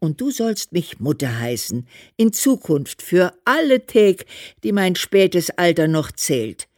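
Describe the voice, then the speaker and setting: A woman's voice: lowish voice, very animated, slow, darkish tone, dry recording, studio quality. An actress playing an affectionate, demanding elderly mother in a German radio play, emphatic and coaxing. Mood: tender. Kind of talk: German radio play, letter read as speech.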